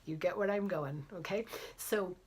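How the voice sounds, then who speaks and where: A middle-aged woman with a cold tells a story straight to camera in a chatty, animated way. Her voice sits slightly low, and she often slips into soft, breathy whispered asides. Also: normal speed. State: has a cold. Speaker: vlogger, physician assistant and fitness coach.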